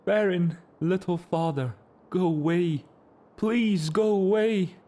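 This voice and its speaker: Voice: mid-range voice, slow pace, warm tone, lightly acted. A performer playing a frightened, pious old male servant in a theatrical audio drama, lamenting and imploring.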